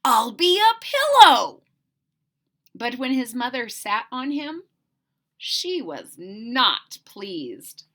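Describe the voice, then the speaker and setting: A woman reading a children's story aloud with exaggerated, drawn-out emphasis and chatty asides to her listeners. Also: fairly high pitch, bright, forceful tone. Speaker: storybook read-aloud host.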